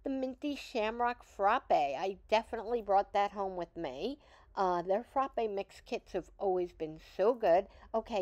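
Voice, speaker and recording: A woman's voice: mid-range voice, darkish tone, dry recording. A middle-aged woman hosting a shopping vlog, chatting in an upbeat, enthusiastic voice-over. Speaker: vlog host.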